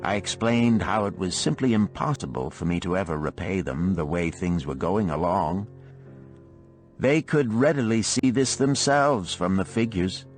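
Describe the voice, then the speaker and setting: A man narrating an audiobook of parables and letters in a measured, expressive storytelling manner. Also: sits low, faint music behind.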